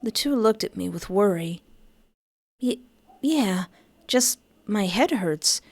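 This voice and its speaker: A woman's voice: slow pace, no echo. A woman reading a visual novel aloud, voicing the narration and the dialogue expressively. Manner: expressive, shifts pitch for each character.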